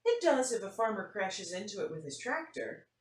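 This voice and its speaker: A woman's voice: mid-range voice, sing-song, normal speed, pitched above the performer's own voice, close to an everyday voice. A woman voicing a nonchalant, matter-of-fact schoolgirl telling her tale in a picture-book read-aloud. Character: nonchalant schoolgirl recounting an unbelievable farm trip. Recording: roomy, faint noise, distant mic.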